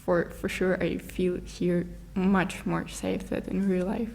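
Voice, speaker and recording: A young woman from Russia, a guest in a casual interview, talking haltingly as she searches for words in English. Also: on the low side, flat delivery, creaky, darkish tone, a little hiss or hum.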